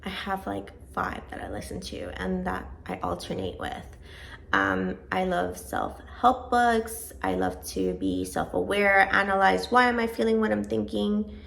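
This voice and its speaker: Chatty woman with a gravelly edge. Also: medium pitch, very animated, unhurried, fairly bright tone. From born in Venezuela, raised in Georgia. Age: 30s. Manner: chatty, rambling, self-deprecating. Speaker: vlogger. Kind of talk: casual chat to camera.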